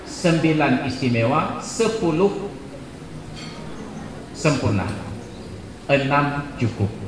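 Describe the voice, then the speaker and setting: A man in his forties giving a jokey, animated sermon at a gathering, building to loud emphatic peaks. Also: medium pitch. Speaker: preacher. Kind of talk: humorous sermon at a religious gathering. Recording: echoey hall with background noise.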